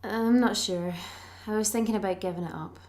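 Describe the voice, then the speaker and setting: An actress playing a quiet, reserved young granddaughter in an audio play, giving brief, subdued replies. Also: female voice, medium pitch, brisk, natural voice. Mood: reserved, kind.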